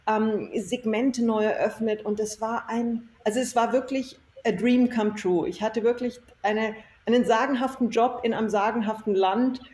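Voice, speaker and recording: A woman's voice: on the high side, mellow. An enthusiastic, emphatic woman telling her experiences conversationally as an interview guest. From Germany. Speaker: interview guest, self-employed former marketing executive. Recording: echoey room, faint noise.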